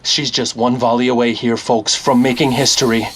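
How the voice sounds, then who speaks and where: An actor playing an excited male sports commentator calling a championship win in an audio drama. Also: mid-range voice, normal speed, a little hiss or hum, lightly acted. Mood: thrilled. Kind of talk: broadcast tennis commentary.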